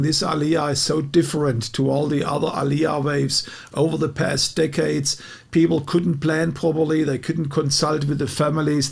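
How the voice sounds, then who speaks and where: A man hosting an update call with guests, speaking earnestly and addressing them directly in a gravelly, husky voice. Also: on the high side. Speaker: host of a live online update.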